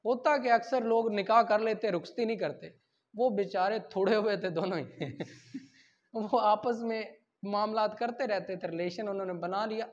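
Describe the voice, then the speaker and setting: Pakistani guy teaching law class, pretty high voice, informal, drops into english for the legal bits, kinda dull lo-fi audio. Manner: informal, switches into English to read out legal text; asks questions and answers them himself. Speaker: law lecturer. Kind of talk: classroom lecture, explaining articles point by point. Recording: dull, muffled lo-fi recording.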